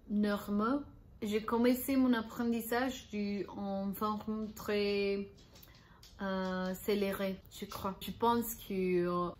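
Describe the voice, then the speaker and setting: A woman with a Spanish accent talks unscripted in a learner's vlog. Her delivery is slow and halting, with frequent pauses while she searches for words and restarts her sentences. She laughs lightly at her own mistakes along the way. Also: mid-range voice. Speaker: language-learning vlogger.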